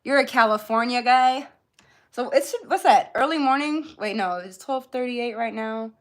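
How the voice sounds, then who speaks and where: A woman's voice: on the high side, moderate pace. A woman hosting a live beauty tutorial in an informal, chatty way, speaking directly to her viewers. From born in Jamaica, grew up in Florida, US. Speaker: beauty livestream host.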